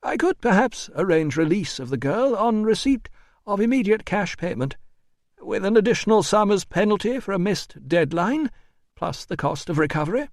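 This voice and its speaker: A man's voice: expressive, moderate pace, no echo, studio quality. A man voicing a fussy, cowardly, pompous Roman administrator in an audiobook, officious and indignant in a strained voice. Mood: evasive, officious. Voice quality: strained, high-pitched. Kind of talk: audiobook character voice.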